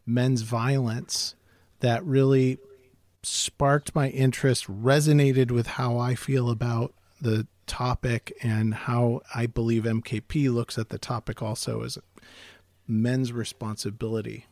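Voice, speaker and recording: Middle-aged host, dry close sound, relaxed and conversational. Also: male voice, medium pitch, a little slow, dry recording. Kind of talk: interview.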